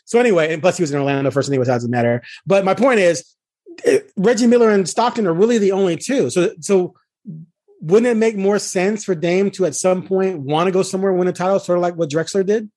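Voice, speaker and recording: A man hosting a casual sports podcast, laying out his arguments point by point in an animated way. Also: sits high, fast talker, no room sound. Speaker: sports podcast host, beat reporter.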